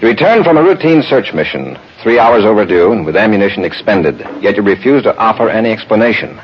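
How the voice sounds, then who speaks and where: An actor playing a stern, authoritative space patrol commander in a 1950s radio drama. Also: male voice, fairly low pitch, big pitch swings, fast talker. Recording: dull noisy 1950s radio recording.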